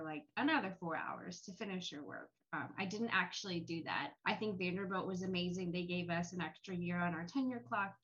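Lowish-voiced woman on a call. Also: a bit bright, dull audio. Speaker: panel guest, academic. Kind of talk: casual personal anecdote.

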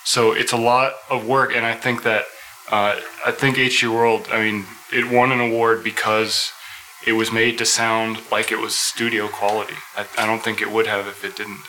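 A man's voice: on the low side, level tone, moderate pace, bright, forceful tone. A man hosting a casual live panel at a convention, recorded in a noisy room. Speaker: host.

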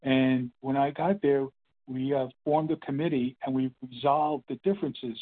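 An older man in his eighties sharing his story at a meeting, rambling and halting with many fillers and restarts. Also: medium pitch, steady tone. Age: 80s. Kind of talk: casual spoken testimony. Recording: phone-line call.

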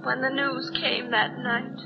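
Spectral dead bride, high voice, slow intense declamation, mournful, vintage radio horror. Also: female voice, level tone. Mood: mournful, eerie. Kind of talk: 1940s radio horror acting. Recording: muffled old radio recording, music behind.

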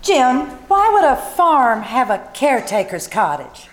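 A woman's voice: sits high, very animated, a little slow. A woman playing a reproving, worried wife and mother in an old-time radio family comedy. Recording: echoey live performance recording.

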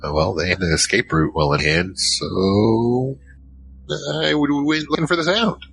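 An actor playing a giant troll, worried and soft-hearted, narrating in the first person in an audio drama. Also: male voice, lowish voice, unhurried, creaky, slight background noise. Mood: recounting, uneasy. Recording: music behind.

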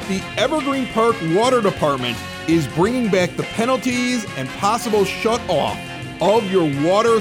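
Animated male podcast host, higher-pitched voice. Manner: animated radio-announcer delivery. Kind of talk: scripted local news reads and casual bar chat. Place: at a bar.

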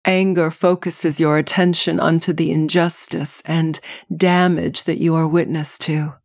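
A woman podcast host narrating a scripted guided meditation in measured, deliberate phrases. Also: low-pitched, level tone, vocal fry, dry recording, studio quality.

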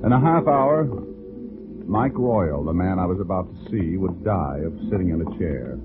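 A man acting a wry, hard-boiled private investigator in a 1940s radio drama, with a deadpan delivery. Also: deep voice, normal speed. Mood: wry, grim. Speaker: lead actor and narrator. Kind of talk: 1940s radio detective acting, first-person narration. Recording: tinny 1940s radio broadcast with music.

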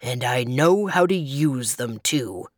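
A woman voicing a cruel, menacing grizzly bear in a storybook, with a deep, growly voice. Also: animated, slow pace, no echo, clean studio sound, exaggerated voice. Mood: menacing, gloating. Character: menacing, cruel grizzly bear, matter-of-fact about appetite.

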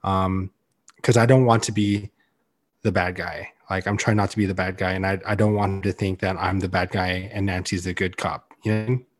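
A young man on a podcast, talking earnestly and loudly in a casual chat, with many "like"s. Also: low-pitched, level tone, brisk. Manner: loud, earnest, heavy use of "like". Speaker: podcast co-host.

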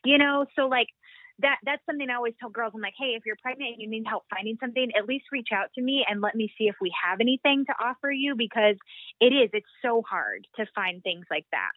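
A woman's voice: fairly high pitch, lively intonation, quick pace, dry recording. A woman in her late twenties, a boutique founder chatting in a phone interview, upbeat and full of fillers. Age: 20s. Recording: tinny phone line, dry.

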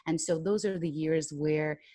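Candid woman guest, low dry voice. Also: steady tone, unhurried, dark tone, no echo. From Ukraine. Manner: candid, laughs often, many "um" and "you know" fillers. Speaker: podcast guest, public speaker. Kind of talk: reflective interview conversation.

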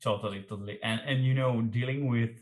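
A man's voice: mid-range voice, fairly even intonation. A man, a musician and non-native English speaker, chatting in an interview over a video call with many restarts and fillers. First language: not English. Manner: halting, many restarts and fillers, laughs easily. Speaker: guest musician. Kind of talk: casual interview chat. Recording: roomy echoing sound.

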